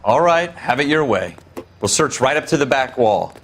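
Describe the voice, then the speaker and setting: A man's voice: mid-range voice, expressive, moderate pace, fairly bright tone, slight background noise. A man playing a jaded patrol cop in a detective game, complaining in an informal way. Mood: grumbling. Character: grumbling, jaded male uniformed patrol cop.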